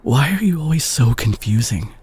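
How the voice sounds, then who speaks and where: A man playing a remorseful, guilt-ridden doctor ex-boyfriend in an intimate romantic audio drama, speaking haltingly and tenderly with a husky voice. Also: mid-range voice, expressive, a little mellow, slight background noise, close to an everyday voice. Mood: pleading, frustrated. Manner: halting, emotional, with sighs and repeated words. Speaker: audio roleplay performer.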